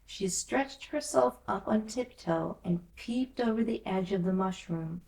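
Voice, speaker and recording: A woman's voice: mid-range voice, measured pace, gravelly, darkish tone. A woman reading a children's classic aloud as scripted storybook narration. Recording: roomy echo, distant from mic.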